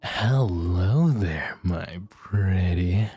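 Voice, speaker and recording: A man playing a sinister, gleeful vampire's minion in an audio roleplay, servile to his master, with a raspy, breathy, creepy delivery that savors each word. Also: low voice, expressive, warm tone, no room sound, exaggerated voice. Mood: creepy gloating. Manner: slow, creepy, drawn-out, savoring words. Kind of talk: ASMR roleplay acting.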